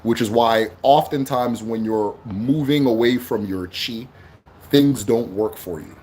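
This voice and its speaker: Man, loud emphatic voice, casual livestream teaching, faint background noise. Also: medium pitch, measured pace. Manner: loud, emphatic, keeps asking "right?". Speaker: livestream host teaching spirituality.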